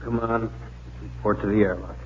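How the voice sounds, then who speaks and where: A man's voice: lowish voice, steady tone, moderate pace, close to an everyday voice. A man playing an edgy, questioning spaceship lieutenant in a 1950s radio drama. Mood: uneasy. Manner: uneasy, questioning. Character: edgy, doubting young male spaceship lieutenant. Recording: muffled old radio recording, loud noise behind.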